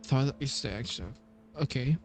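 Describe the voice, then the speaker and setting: A man doing casual playthrough commentary as a streamer, thinking aloud haltingly and repeating himself. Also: medium pitch. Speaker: game streamer. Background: game music.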